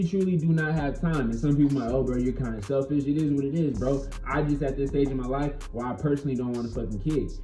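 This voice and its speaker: A man's voice: on the high side, dark tone. A young American man giving a blunt, slangy, emphatic opinion monologue to camera, swearing freely in a casual way. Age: young adult. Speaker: video channel host. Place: small bedroom with bare walls. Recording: echoey small room.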